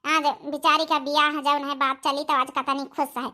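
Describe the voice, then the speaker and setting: A woman's voice: sits high, flat delivery, normal speed, bright, forceful tone. A woman voicing a kindly, protective middle-aged village auntie in a comedy cartoon, giving motherly advice with an Awadhi accent. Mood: caring. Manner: advising, motherly.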